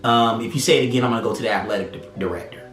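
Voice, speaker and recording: A man's voice: mid-range voice, racing, a bit bright. A man in his thirties recounting a personal experience conversationally, acting out what others said. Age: 30s. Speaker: interviewee. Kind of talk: personal testimony. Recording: roomy echo with faint music.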